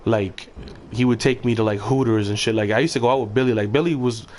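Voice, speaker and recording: A man's voice: medium pitch, fast, a crowd in the background. A young man streaming, chatting casually and crudely over voice chat while gaming, mocking and trash-talking the other players.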